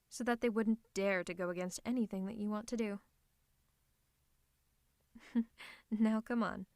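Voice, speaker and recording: A woman's voice: fairly high pitch, no room sound, clean studio sound. A woman voicing a theatrical, possessive female supervillain who is secretly a tender lover, in a scripted ASMR roleplay with a dramatic, haughty, teasing manner. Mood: devoted, tender. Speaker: ASMR voice performer.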